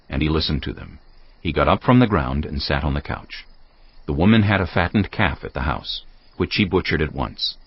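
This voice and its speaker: A man's voice: rumbling low, husky, no echo. A man reading scripture as the narrator of a dramatized audio production, in a scripted storytelling style. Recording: muffled studio reading with faint music.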